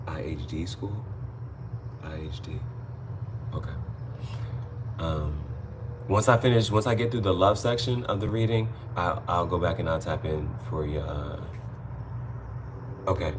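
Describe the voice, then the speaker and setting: A man's voice: extremely low pitch, noisy background. A man hosting a casual tarot livestream, chatting informally to viewers and rambling, often repeating phrases for emphasis. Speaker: livestream host and amateur tarot reader.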